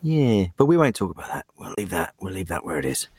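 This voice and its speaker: A man's voice: deep voice, big pitch swings, fast talker, a little mellow, husky, no echo, clean studio sound. A man who grew up in England, with an English accent, speaking as a voice actor guest on a podcast in a rambling, self-deprecating way with many fillers. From Germany, raised in England. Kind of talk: podcast interview.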